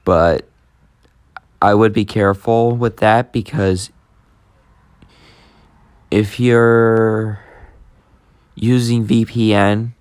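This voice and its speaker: Slow-talking man with a deep voice. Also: fairly even intonation, clean tone, no room sound. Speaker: YouTube host demonstrating an app. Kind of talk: casual unscripted tutorial commentary.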